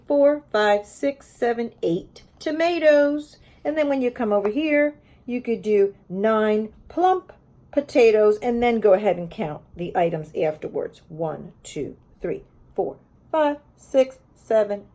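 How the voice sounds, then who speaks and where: Woman, medium pitch, teacher, informal instructional talk, explanatory, picture book read-aloud style. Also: expressive, slow, dark tone.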